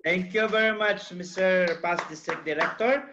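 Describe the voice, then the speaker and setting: Slightly high-voiced guy, director passing the floor, dull lo-fi call sound. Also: moderate pace, background noise. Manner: formal, with frequent "uh" fillers. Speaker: district director. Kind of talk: formal meeting hosting. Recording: muffled lo-fi video call audio.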